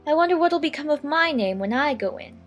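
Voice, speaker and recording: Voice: sits high, lively intonation, fairly quick, fairly bright tone, faint music behind, pitched above the performer's own voice. A narrator voicing a curious, chatty little girl who muses to herself in a children's audiobook. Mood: musing, chatty. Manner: musing aloud to herself.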